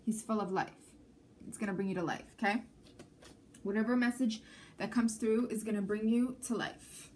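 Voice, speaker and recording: A woman from Canada reads tarot cards straight to her viewers, talking in a loose, chatty way and often checking that they follow. Her first language is Armenian. Her voice sits in an ordinary middle pitch. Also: fairly even intonation, moderate pace, long pauses, a little mellow, slight background noise. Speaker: tarot reader. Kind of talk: intuitive tarot reading to camera.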